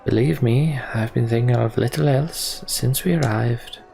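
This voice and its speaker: A man playing a candid, disillusioned young prince in a fantasy game, speaking in a measured, reflective way. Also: mid-range voice, gravelly, warm tone. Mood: earnest. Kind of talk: voiced game dialogue reading.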